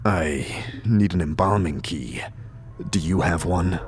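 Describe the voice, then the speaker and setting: A man playing a gruff, blunt undead wanderer in an audio drama, speaking in terse, dry demands. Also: low voice, slow pace, faint music behind, full character voice. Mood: businesslike. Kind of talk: audio drama character acting.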